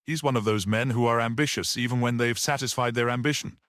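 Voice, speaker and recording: A man voicing a confident, worldly man in a mystery audiobook, with an animated conversational manner. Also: mid-range voice, fast, no echo, clean studio sound, pitched above the performer's own voice, lightly acted. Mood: assured. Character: confident, worldly man discussing a murder trial. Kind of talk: audiobook character reading.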